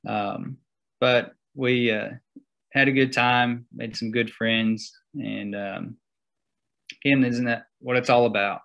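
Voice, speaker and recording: A young man gives a slide-show seminar talk over a video call. He speaks slowly, with a gravelly edge, and stops often on fillers as he walks through his slides. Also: mid-range voice, steady tone, lo-fi sound. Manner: halting, many fillers. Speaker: guest speaker.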